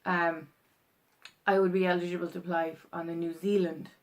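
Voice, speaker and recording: Young irish woman vlogging, lowish gravelly voice, rambly, lots of ums. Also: flat delivery, a little fast. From Ireland. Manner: rambling, chatty, full of ums and restarts. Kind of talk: casual explainer vlog.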